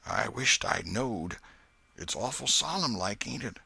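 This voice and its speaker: A man voicing a superstitious, fearful young country boy with a hushed, shivery, folksy rustic dialect in a storybook. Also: medium pitch, animated, unhurried, creaky, breathy edge, no echo, voice pushed up, heavily acted. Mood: solemn, fearful.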